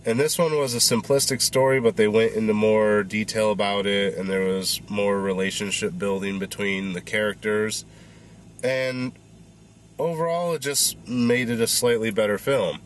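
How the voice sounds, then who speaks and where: Casual male reviewer in his thirties, stuffy and congested, low voice, loose and rambling. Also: moderate pace, slight background noise. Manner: rambling, filler-heavy. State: congested, recovering voice. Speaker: movie reviewer.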